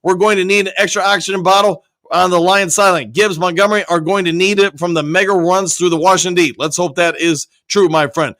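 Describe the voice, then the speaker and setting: A man's voice: high voice, steady tone, a little fast, a bit bright, no room sound, clean studio sound. A man hosting a live stream, reading viewers' comments aloud and answering them upbeat, his voice nearly worn out. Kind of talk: casual live-stream chat.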